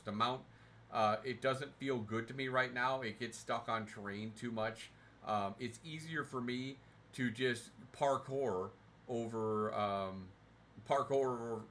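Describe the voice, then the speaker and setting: A man streaming a game from his recliner at home, reading out viewer comments and answering them in a relaxed, rambling way with lots of fillers and restarts. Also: mid-range voice, a little hiss or hum. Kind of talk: casual livestream chat, answering viewer comments. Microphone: desk mic, sometimes too far from it.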